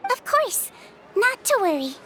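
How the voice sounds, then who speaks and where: A woman voicing a small, gentle, youthful-sounding nurse creature in a video game, speaking earnestly over music. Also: very high-pitched, big pitch swings, unhurried, a little hiss or hum, exaggerated voice. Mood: reassuring. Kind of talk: video game character dialogue. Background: background music.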